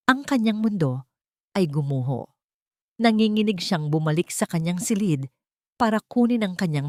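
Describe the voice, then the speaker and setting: A woman narrating a romantic drama in a solemn, measured, theatrical storytelling style. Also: lowish voice, darkish tone, no echo, studio quality.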